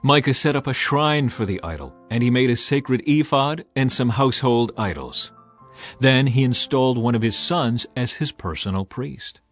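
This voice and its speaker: A man reading a dramatized Bible as narrator, with measured, storytelling delivery. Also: mid-range voice, animated, soft background music. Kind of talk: dramatized scripture narration, scripted.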